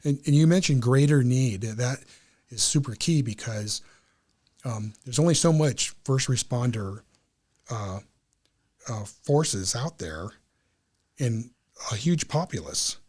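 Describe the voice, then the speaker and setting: Guy in a casual interview, earnest club member explaining stuff, medium pitched voice. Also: animated, slow, long pauses, a little mellow. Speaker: amateur radio club member, interview guest.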